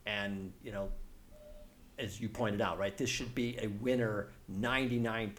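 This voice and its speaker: A man's voice: fairly low pitch, fairly even intonation, unhurried, a bit bright, slight background noise. A man co-hosting a podcast in casual conversation, explaining his take and often checking in for agreement. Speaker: podcast co-host.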